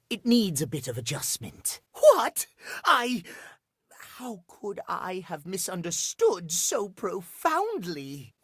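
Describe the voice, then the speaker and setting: Prim living timepiece, high-pitched, swooping. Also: male voice, slightly rough, dry recording, studio quality, heavily acted. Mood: polite, fussy, then hurt, flustered. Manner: formal, fussy, old-fashioned gentlemanly diction; theatrical, emotional swings. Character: prim, lovestruck, anxious male living timepiece, fussy about schedules. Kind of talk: video game character acting.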